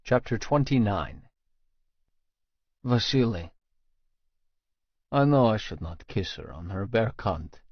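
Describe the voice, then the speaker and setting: A man's voice: lowish voice, expressive, takes it slowly, long pauses, breathy edge, no echo, highs cut off. Man narrating fiction, very dry sound. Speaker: audiobook narrator. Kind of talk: scripted first-person fiction narration.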